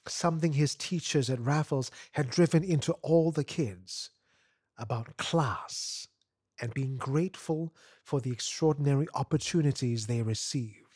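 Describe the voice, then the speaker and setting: A man reading literary fiction aloud as an expressive narrator. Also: medium pitch, slightly rough, no echo, clean studio sound. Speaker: story narrator.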